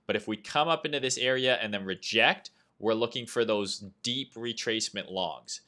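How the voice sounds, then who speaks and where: A young Canadian man with a Canadian accent, chatting casually on a trading livestream. His voice is fairly low but bright and forward, and he speaks in loose, rambling sentences full of "uh" and "right?" as he answers viewers. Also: moderate pace. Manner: loose and chatty, reads chat aloud, frequent "uh" and "right?". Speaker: livestream host, trading analyst. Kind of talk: casual livestream market commentary.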